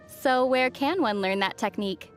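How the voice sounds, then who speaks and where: An actress playing a calm, sensible, gently teasing young traveler heroine in a video game. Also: female voice, on the high side, moderate pace, music in the background, natural voice. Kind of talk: scripted video game dialogue.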